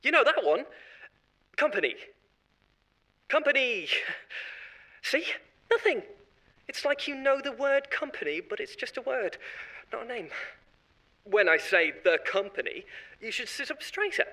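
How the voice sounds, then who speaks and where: Horror audio drama: a chatty, unsettling corporate exec, high and bright. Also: male voice, big pitch swings, unhurried, pauses often, full character voice, low-quality recording. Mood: uneasy chatty. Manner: rambling, confiding, oddly cheerful. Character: eerie corporate marketing head, adult man, unsettling. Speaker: voice actor.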